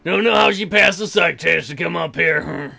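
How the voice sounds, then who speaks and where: A man puts on a folksy, awkward male librarian from a space colony in a game. He drags his words out haltingly, hemming, humming and clearing his throat in a creaky voice, with music playing underneath. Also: fairly high pitch, bright, forceful tone, heavily acted. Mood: hesitant, rambling.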